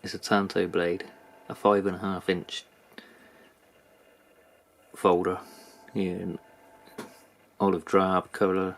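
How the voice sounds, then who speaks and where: A man with a British accent giving a casual, unscripted product review in a halting manner. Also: sits low, little pitch movement. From United Kingdom. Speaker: knife reviewer. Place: indoors at a workbench.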